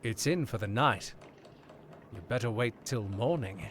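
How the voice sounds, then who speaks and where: Casting: a man as a knowing, dry-witted author in a radio play, slow and deliberate, lively pitch. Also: a little hiss or hum. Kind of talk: old-time radio drama recreation.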